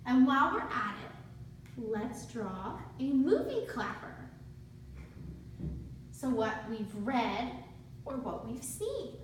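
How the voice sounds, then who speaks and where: A woman teaching a read-aloud lesson to young children, using simple instructional phrasing and prompting them with questions. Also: fairly high pitch. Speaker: student teacher reading aloud to a class. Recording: very echoey room, distant mic, faint noise.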